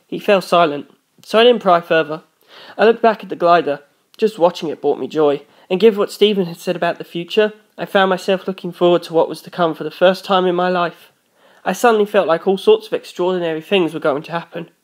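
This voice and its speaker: A woman's voice: on the low side, brisk. A woman reading scripted first-person story narration as an audiobook narrator.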